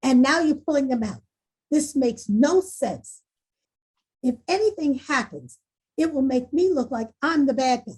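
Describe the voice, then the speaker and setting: An exasperated female school principal, acted by a woman at a table read. Her voice sits high and swings widely, with a rough husky edge. She sounds forceful and defensive. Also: animated, unhurried, exaggerated voice. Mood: indignant. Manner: forceful, indignant questioning. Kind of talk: screenplay table read acting.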